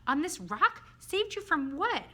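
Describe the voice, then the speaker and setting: Woman doing a young girl in a kids book, curious n a bit bossy, bright lively voice. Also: medium pitch, normal speed. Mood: surprised, curious. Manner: direct, coaxing, questioning.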